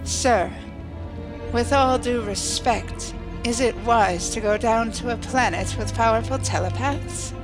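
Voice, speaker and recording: A woman performs a formal starship ensign for a sci-fi audio play. Her voice sits on the high side, and she speaks with cool, exact logic. Music plays clearly behind her. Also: takes it slowly. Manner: precise, formal, unemotional. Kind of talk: sci-fi audio drama acting. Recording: music under the dialogue.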